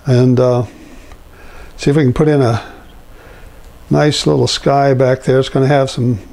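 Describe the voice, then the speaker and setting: An older man with a raspy, mellow voice walks through a painting step by step. He speaks in an unhurried, halting way, often pausing on fillers. He is recorded in an indoor studio, talking while he works. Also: medium pitch. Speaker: painting instructor.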